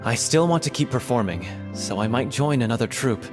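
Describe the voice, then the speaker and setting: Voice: medium pitch, lively intonation, fairly quick, natural voice. A voice actor playing an earnest, grateful young troupe performer. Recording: game dialogue over loud music.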